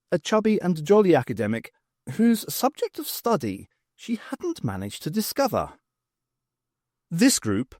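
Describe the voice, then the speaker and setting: A man's voice: fairly high pitch, a little mellow, no echo, clean studio sound. A man reading scripted narration from a science fiction audiobook.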